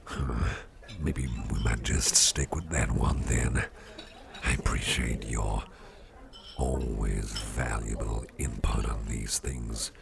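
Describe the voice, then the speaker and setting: Man playing a gravelly, extremely deep-voiced friendly boss. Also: slow, heavily acted. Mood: warm, grateful. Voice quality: very deep, gravelly, breathy half-whisper. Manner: hesitant, repetitive, gently rambling. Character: friendly, affectionate, rambling male office boss at a party. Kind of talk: ASMR roleplay, intimate personal attention. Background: music.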